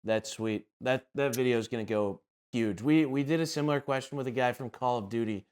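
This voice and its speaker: A man's voice: medium pitch, a little fast, a little mellow, clear, resonant tone. A man hosting a podcast, putting questions to a guest in a casual, conversational style. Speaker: podcast host.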